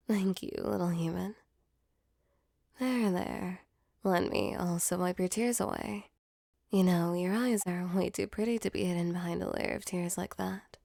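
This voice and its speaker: A woman voicing a female sleep paralysis demon in an intimate ASMR roleplay, with a soft, close delivery. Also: lowish voice, creaky, no room sound. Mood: tender, soothing. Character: female sleep paralysis demon, mock-menacing turned tender and flustered.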